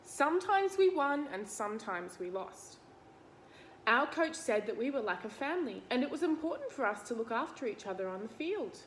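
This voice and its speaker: A woman's voice: on the high side, big pitch swings, moderate pace, slight background noise. An Australian woman reading a picture book aloud to young children, expressively, talking to them directly with simple explanations and questions.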